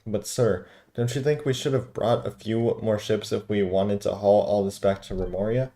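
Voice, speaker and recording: A man's voice: lowish voice, fairly even intonation, moderate pace, warm tone, close to an everyday voice. A man voicing a weary, deferential soldier underling who complains respectfully, reading video game dialogue. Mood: weary pleading.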